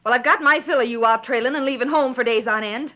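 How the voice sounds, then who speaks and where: A woman's voice: a little high, quick pace, close to an everyday voice. A woman playing a bossy, scolding frontier ranch wife with a rural Western American accent, in 1940s radio western style. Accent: rural American West. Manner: bossy, nagging. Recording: dull old 1940s radio recording.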